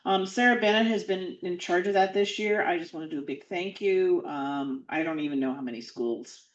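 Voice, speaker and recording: Woman, lowish voice, loose casual update with fillers, echoey dull room sound. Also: normal speed. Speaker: civics committee member. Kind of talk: meeting update.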